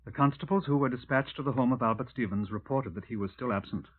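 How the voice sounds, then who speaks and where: An actor playing a deferential, methodical British detective in a formal, measured 1950s radio drama style on an old recording. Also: male voice, mid-range voice, heavy background noise, close to an everyday voice. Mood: formal, factual. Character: deferential, methodical male Scotland Yard detective. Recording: tinny, narrow-band vintage radio recording.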